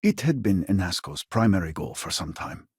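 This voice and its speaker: Casting: devout, weary scientist for sci-fi game; lowish husky voice, formal and earnest delivery. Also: male voice, very animated, moderate pace, darkish tone, no room sound, studio quality, heavily acted. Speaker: video game voice actor. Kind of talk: scripted video game character dialogue.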